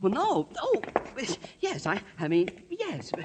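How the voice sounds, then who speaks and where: A flustered American hotel clerk in an old radio play. His voice is rather high and swoops about as he stammers prissily. There is faint noise on the vintage recording. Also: huge pitch swings, takes it slowly, heavily acted. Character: fussy, flustered, timid male hotel desk clerk. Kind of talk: 1950s American radio drama acting.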